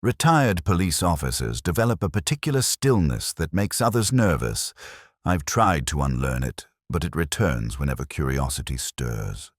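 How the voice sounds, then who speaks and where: Very deep, brooding retired detective played by a man. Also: very animated, dry recording, clean studio sound, exaggerated voice. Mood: wistful, brooding. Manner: measured, wistful, erudite, wry. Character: melancholy older male retired Oxford police inspector, reflective narrator. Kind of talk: literary first-person detective drama monologue.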